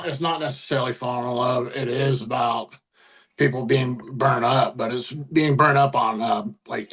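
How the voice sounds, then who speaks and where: A man with a gravelly, husky voice of average pitch gives casual interview answers as a musician. His delivery is halting, as he keeps searching for the right words. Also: a bit bright, low-quality recording.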